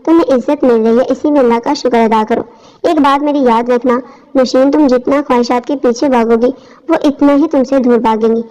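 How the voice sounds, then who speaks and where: A woman's voice: high voice, fairly even intonation, fairly quick, dark tone, close to an everyday voice. A woman narrator voicing a stern but caring middle-aged mother in a story, admonishing her child. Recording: dull lo-fi audio.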